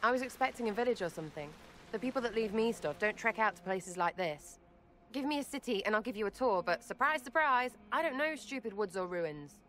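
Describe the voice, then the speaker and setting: An actress playing a blunt, irreverent young elf archer in a game cutscene, talking in a casual, clipped way. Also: female voice, fairly high pitch, lively intonation, a little fast, a bit bright, a little hiss or hum, poor audio. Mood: puzzled.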